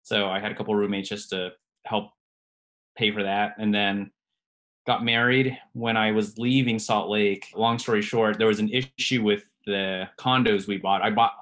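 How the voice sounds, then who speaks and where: A man guest on a podcast, a radiologist, telling his story in a relaxed way, hedging often. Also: on the low side, moderate pace. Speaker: guest, radiologist and private lender. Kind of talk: casual podcast interview.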